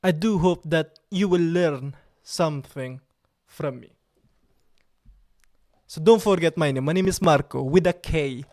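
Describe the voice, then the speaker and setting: A man's voice: fairly high pitch, a little slow, long pauses, a little mellow. Playful man with an Italian accent. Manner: playful, joking, repeats himself. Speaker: livestream host. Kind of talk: casual live-stream chat.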